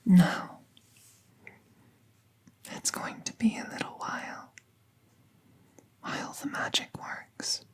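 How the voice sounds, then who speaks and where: A woman playing a kind, soothing magical veterinarian in a whispered ASMR roleplay, gentle and measured as she reassures a patient. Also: lightly acted. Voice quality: soft, breathy whisper.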